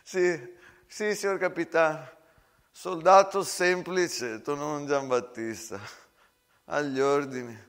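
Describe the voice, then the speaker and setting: A man's voice: a little high, steady tone, takes it slowly, fairly bright tone, smooth. A man acting a meek, hesitant simple soldier. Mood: deferential. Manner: deferential, hesitant, repeating himself. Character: meek, simple condemned foot soldier, nervously amused. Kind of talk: theatrical stage monologue acting.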